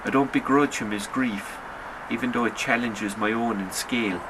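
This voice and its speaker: A man reading a literary short story in a sombre, measured storytelling manner. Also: mid-range voice, noisy background. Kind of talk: literary audiobook narration.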